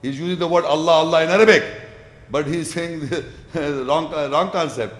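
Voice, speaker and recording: A man's voice: a little high, normal speed. A man lecturing and answering audience questions, explaining patiently and repeating words as he builds his sentences. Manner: recites scripture verses in Arabic. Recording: echoey lecture hall.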